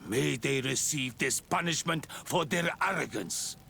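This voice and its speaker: An actor playing a hostile, hot-headed island warrior in a video game, accusing and contemptuous. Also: male voice, medium pitch, expressive, unhurried, creaky, pushed and bright, a little hiss or hum, exaggerated voice. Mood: hostile, indignant. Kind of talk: video game cutscene acting.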